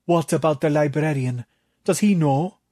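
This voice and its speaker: A man's voice: fairly high pitch, normal speed, mellow, no room sound, clean studio sound, voice pushed up, lightly acted. A man reading a story, voicing a nervous young male student who asks anxious questions. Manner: anxious, wide-eyed questions.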